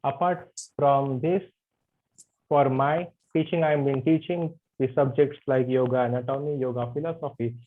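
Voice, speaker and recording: A man introducing himself as a yoga anatomy teacher in an online class, halting with many fillers. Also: mid-range voice, steady tone. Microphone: video call. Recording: tinny video call audio.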